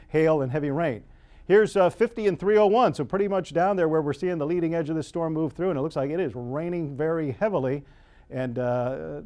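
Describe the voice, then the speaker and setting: A man's voice: a little high, dark tone. An American man working as a TV weather forecaster, ad-libbing live storm coverage in an explanatory way. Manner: explanatory, unscripted.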